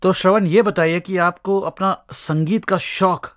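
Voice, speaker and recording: A man's voice: high voice, fairly even intonation, measured pace, a bit bright. A man putting questions to a guest in a radio interview.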